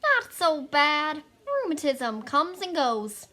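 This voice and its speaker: Spry American townswoman of eighty-one, acted by a young woman: high, bright, slow and swooping, amateur stage style. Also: sing-song, heavily acted. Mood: feisty, proud. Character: spry, feisty old small-town woman of eighty-one. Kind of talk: amateur stage-play acting.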